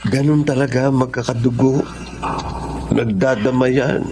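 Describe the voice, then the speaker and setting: A man playing a kind, easygoing brother in a radio drama, speaking in a gentle, reassuring way. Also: mid-range voice, steady tone, measured pace, over music, close to an everyday voice. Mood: kindly, reassuring.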